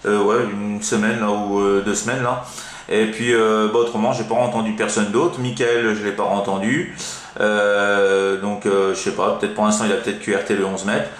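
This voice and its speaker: A man's voice: lowish voice, little pitch movement, moderate pace. A man chatting casually over CB radio as an amateur operator, rambling and hesitant, often repeating himself. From France. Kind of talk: casual radio contact chat. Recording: roomy, echoing room sound.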